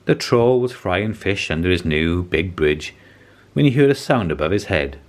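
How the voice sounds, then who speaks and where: Very animated low-voiced male storyteller. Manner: expressive, deliberate storytelling. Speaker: storybook reader. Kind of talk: scripted children's story narration.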